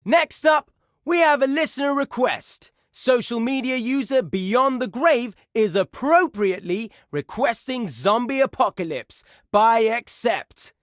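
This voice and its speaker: An actor playing a brash, excitable heavy metal radio DJ in a video game, announcing songs and listener requests in a punchy, showy style. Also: male voice, very high-pitched, unhurried, no room sound, studio quality. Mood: upbeat.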